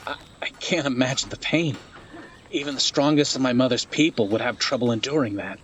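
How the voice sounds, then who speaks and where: An actor playing an earnest, curious young man in a fantasy audio drama, speaking softly with hesitant awe. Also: medium pitch, fairly quick, muffled recording, slight background noise, close to an everyday voice. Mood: shaken. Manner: hesitant, awed, soft.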